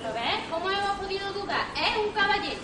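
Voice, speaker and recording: A woman's voice: very high-pitched, animated, a little fast. A woman in an amateur stage farce playing a fussy, melodramatic mother, recorded in an echoey hall. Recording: far-off echoey hall, noisy audience.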